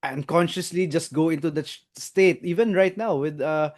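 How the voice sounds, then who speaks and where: A man hosts the interview as a trainer, speaking confidently and steadily. His voice sits somewhat high for a man, and he pauses with "uh" and checks in with "right?". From Philippines. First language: not English. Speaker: host, NLP master trainer. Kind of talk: conversational interview.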